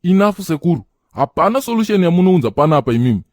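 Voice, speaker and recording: Casting: male actor as pushy cartoon schemer, fast and fairly high, argumentative, exasperated. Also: big pitch swings, darkish tone, dry recording, studio quality. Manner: argumentative, insistent. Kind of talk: comedy cartoon voice acting.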